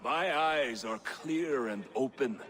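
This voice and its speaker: A man's voice: medium pitch, slow pace, a bit bright, big-room sound. An actor playing a surly, bold male Viking warrior who speaks bluntly and mockingly in a game cutscene. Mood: taunting. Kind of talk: video game cutscene acting.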